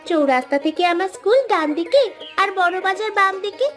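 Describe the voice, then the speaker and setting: A woman's voice: very high voice, expressive, brisk. A woman voicing a confident, chatty eleven-year-old girl in a cartoon story, speaking in an eager, insistent manner. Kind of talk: animated cartoon storytelling dialogue. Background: background music.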